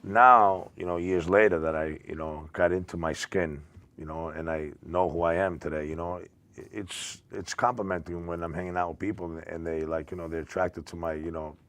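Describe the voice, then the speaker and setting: Deep-voiced middle-aged man chatting casually. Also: fairly even intonation, normal speed, a bit bright. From born in Greece, came via London to the United States. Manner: jokey, often punctuates with "you know". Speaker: talk show guest, comedian and writer. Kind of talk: casual interview chat.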